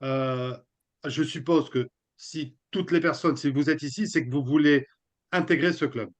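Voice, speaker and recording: A man from Nice presenting to a group on a video call, relaxed and chatty with many fillers. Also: fairly high pitch, measured pace. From Nice, France. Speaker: team strategist presenting. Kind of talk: casual presentation. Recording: dull lo-fi video call audio.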